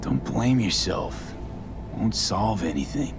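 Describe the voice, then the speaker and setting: A man acting a steady, tough mercenary in a video game, speaking in a terse, matter-of-fact way. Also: lowish voice, takes it slowly. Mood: firm, consoling. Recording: music playing behind the voice. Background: game music.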